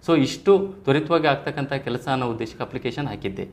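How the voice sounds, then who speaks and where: Male, thirties, Karnataka Kannada accent, mid-pitched, earnest and formal podcast guest, echoey room. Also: lively intonation, fast. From Karnataka, India. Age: 30s. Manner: earnest, formal phrasing with English terms mixed in. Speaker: interview guest, police sub-inspector probationer. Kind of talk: podcast interview, personal storytelling. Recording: roomy echo, faint background noise.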